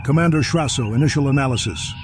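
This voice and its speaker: A man playing a decisive, measured starship captain giving orders in a science fiction drama. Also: mid-range voice, soft background music, lightly acted. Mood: commanding.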